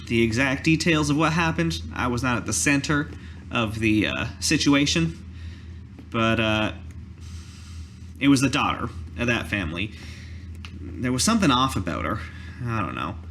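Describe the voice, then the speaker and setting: A man voicing a weary village cobbler in a tabletop roleplay, telling his story in a halting, folksy way. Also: mid-range voice, fairly bright tone, slight background noise, lightly acted. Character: weary male village cobbler recalling a massacre. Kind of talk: in-character tabletop roleplay monologue. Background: background music.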